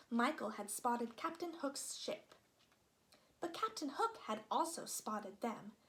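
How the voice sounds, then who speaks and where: A woman reading a children's story aloud as an expressive narrator. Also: a little high. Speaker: storybook reader.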